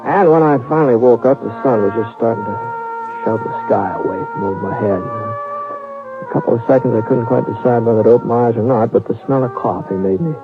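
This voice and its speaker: A man's voice: mid-range voice, a little fast, close to an everyday voice. An actor playing an easygoing drifting gunman who also narrates, in a mild, folksy way. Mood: reflective. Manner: relaxed, folksy, mild-mannered. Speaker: narrator and lead. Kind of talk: 1950s radio drama acting. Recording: tinny old radio broadcast with music.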